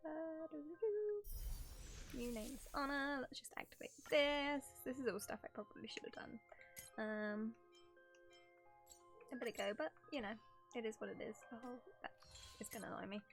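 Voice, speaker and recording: Casting: female game streamer, fairly high voice, giggly casual chatter over background music. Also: moderate pace, pauses often. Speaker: livestreamer. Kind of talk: casual livestream chat while starting a game.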